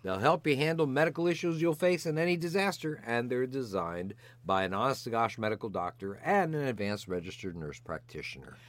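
A man's voice: mid-range voice, animated, brisk, few pauses, dry recording. An older man co-hosting a medical podcast, bantering playfully and delivering lines with alliterative, announcer-like flair. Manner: jokey, alliterative, announcer-like flourishes. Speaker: podcast co-host, physician.

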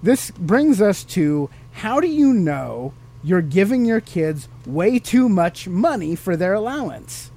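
Animated male podcast host, casual and playful. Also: sits high, slow, few pauses. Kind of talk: casual chat with a child.

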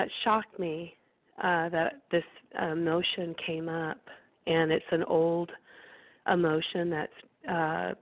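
A woman calling in, hoarse from being sick and losing her voice, earnest and grateful. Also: lowish voice, dry recording. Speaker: caller. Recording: phone line.

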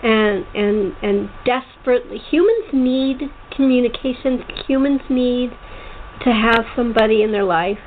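A woman hosting a casual interview, speaking haltingly with repeated and restarted phrases. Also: on the high side. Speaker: talk show host. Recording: tinny phone line with clear background noise.

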